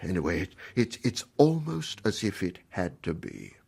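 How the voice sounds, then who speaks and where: An actor playing a genial, self-important, middle-aged scholarly planter who speaks earnestly and hesitantly in a radio drama. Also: male voice, low-pitched, wildly swooping pitch, moderate pace, gravelly, a little mellow, breathy edge, full character voice. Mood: wistful, self-important.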